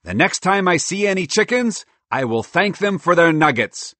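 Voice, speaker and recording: A man voicing an excitable, boastful male squirrel who loves to invent, eager and cocky in a children's storybook. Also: high voice, normal speed, dry recording, pitched above the performer's own voice, exaggerated voice. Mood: delighted.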